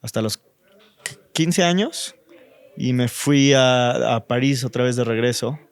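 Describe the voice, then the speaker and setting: A man with a Mexican accent, a designer guest in a relaxed interview, thinking aloud with fillers. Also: medium pitch, measured pace, smooth. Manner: thoughtful, many fillers like "este" and "o sea".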